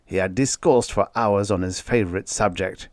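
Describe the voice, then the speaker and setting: A man voicing a grave, hesitant gentleman who recounts strange events earnestly. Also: lowish voice, normal speed, dry recording, studio quality, natural voice. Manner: earnest, reluctant storytelling.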